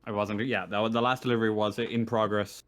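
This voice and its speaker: A man running a casual online tabletop game as game master, narrating and ruling in a halting, hesitant way. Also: on the low side, flat delivery, a bit bright, no room sound.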